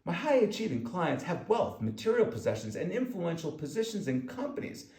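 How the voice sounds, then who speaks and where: A man's voice: high-pitched, sing-song, fairly quick, a little mellow. A man reading his own self-help audiobook, speaking emphatically and directly to the listener. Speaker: author narrating own audiobook. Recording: echoey room sound.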